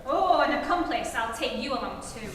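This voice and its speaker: A woman's voice: high voice, expressive, brisk, fairly bright tone, far from the mic. A woman playing a brusque, suspicious homicide officer in an old-time radio comedy on stage, with a curt, dry manner. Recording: echoey theatre hall from afar, noisy.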